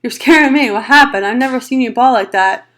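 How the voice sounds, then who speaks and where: Lady doing a soft-spoken gentle teen boy, calm and steady. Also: a little high, a little fast, natural voice. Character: quiet, gentle teenage boy, a loyal friend.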